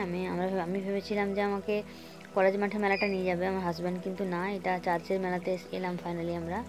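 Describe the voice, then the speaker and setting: A young woman, talking casually to her viewers from a phone held at arm's length in a small room at home, with English words mixed in. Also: medium pitch. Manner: chatty, addresses viewers directly, mixes in English words. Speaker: vlogger. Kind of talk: casual vlog narration to camera. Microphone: phone camera held at arm's length.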